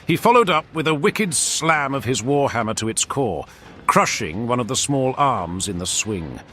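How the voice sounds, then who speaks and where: A man's voice: medium pitch, fairly bright tone, a little hiss or hum. A man reading scripted battle fiction as a dramatic narrator, with theatrical, emphatic storytelling. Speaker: audiobook-style narrator. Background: background music.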